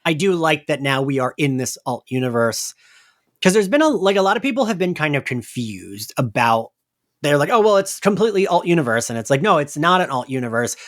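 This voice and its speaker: A man's voice: fairly high pitch, sing-song, a little fast. A man hosting a podcast in casual, self-deprecating chat, given to giggling. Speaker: podcast host.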